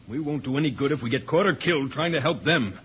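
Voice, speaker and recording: An actor playing a steady, earnest, commanding hero in an old-time radio space serial. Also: male voice, fairly high pitch, fast talker, natural voice. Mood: urgent. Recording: muffled old radio recording, clear hiss.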